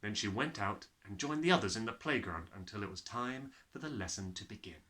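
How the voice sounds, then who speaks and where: A man reading a children's story aloud with expressive, dramatic narration. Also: lowish voice, brisk. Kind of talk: animated scripted narration.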